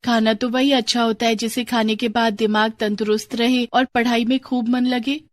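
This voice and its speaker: A woman's voice: a little high, little pitch movement, normal speed, no room sound, natural voice. A woman voicing a determined, polite young schoolgirl in a moral story, earnest and resolute. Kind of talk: acted dialogue in a moral story.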